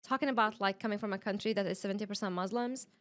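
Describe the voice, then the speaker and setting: Iraqi-born woman, medium-pitched, explaining casually. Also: rapid-fire, fairly bright tone, slight background noise. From Iraq. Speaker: podcast guest. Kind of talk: casual interview answers.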